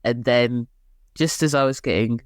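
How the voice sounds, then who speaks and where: A woman's voice: very deep voice, normal speed, dry recording, clean studio sound. A woman co-hosting a podcast with a heavy cold, congested but upbeat and jokey in casual chat. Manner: upbeat, jokey, pushing through. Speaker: podcast co-host.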